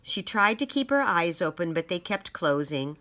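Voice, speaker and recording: Animated, bright-voiced woman reading to children. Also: fairly low pitch. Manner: deliberate, clearly emphasised phrasing for children. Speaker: storyteller and teacher. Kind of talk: children's read-aloud and simple lesson.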